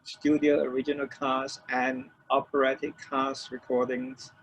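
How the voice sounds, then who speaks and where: Older man, halting interview storytelling, repeated phrases, muffled lo-fi audio with faint noise. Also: mid-range voice, completely flat delivery. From Malaysia. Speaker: interview guest, record producer. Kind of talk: casual reminiscing in an interview. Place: room with shelves of DVDs, on a remote call. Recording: dull lo-fi call, faint noise.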